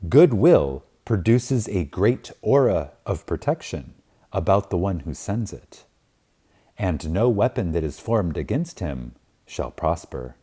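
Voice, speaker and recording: Slow deep-voiced man reading reverently. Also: sing-song, warm tone, breathy edge. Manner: reverent, emphatic, with long deliberate pauses. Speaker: audiobook reader. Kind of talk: reading a spiritual self-help book aloud.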